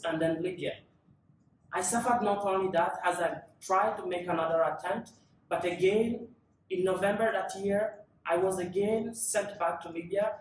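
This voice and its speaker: A man's voice: sits high, slight background noise. Earnest young man in an echoey hall. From South Sudan. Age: 20s. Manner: earnest, measured, with deliberate pauses. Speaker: panel speaker. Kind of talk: testimony at a panel event. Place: large conference room. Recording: echoey, distant conference room recording.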